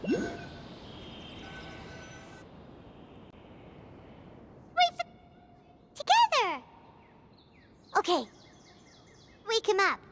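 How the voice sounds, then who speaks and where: A woman voicing a tiny, excitable, childlike fairy companion in a video game, perky and bubbly. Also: very high-pitched, slow, slight background noise, full character voice. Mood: cheerful, urging. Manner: perky, bubbly, speaks of self in third person.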